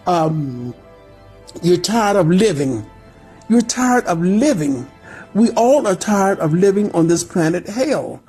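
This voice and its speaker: A woman in her thirties talks earnestly to a listener, urging them not to give up and repeating her phrases for weight. Her voice is husky and breathy at a middling pitch. Faint music plays behind her. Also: dark tone. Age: 30s. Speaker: spiritual group team member. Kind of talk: encouraging monologue.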